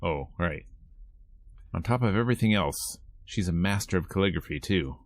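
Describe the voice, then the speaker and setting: Low-voiced man playing a visual novel. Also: lively intonation, moderate pace. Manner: playful, casual asides between read lines. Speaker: host of a gameplay video series. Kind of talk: let's play, reading visual novel text aloud with casual asides.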